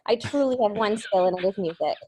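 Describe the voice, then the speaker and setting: A woman's voice: medium pitch, lively intonation, fast talker, mellow, low-quality recording. A woman in her thirties from Cape Cod, with a light Massachusetts accent, chatting as a singer-songwriter guest in a casual interview, bubbly and self-deprecating.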